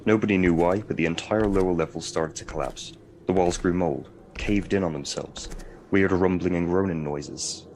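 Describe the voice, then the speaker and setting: An actor playing a steady, earnest guide in an audio drama, plain and matter-of-fact in manner. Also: male voice, low voice, normal speed, a little hiss or hum.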